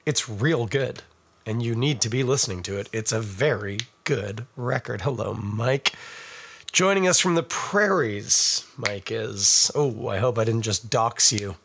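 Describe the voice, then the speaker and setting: A middle-aged Canadian man, hoarse and raspy from a cold, hosting a casual podcast and rambling in a self-mocking way to viewers. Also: medium pitch, unhurried, fairly bright tone. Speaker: podcast host. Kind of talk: casual live-stream chat.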